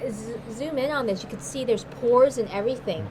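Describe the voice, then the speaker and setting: Interviewer woman, higher voice, playful. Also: a little fast, noisy background. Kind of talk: casual interview.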